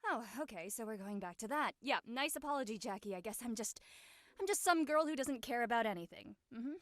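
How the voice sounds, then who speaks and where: A woman playing a carefree, easily offended teenage schoolgirl in a drama read, sarcastic and expressive. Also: a little high, a little fast, no room sound, studio quality. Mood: hurt, sarcastic. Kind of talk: audio drama table read acting.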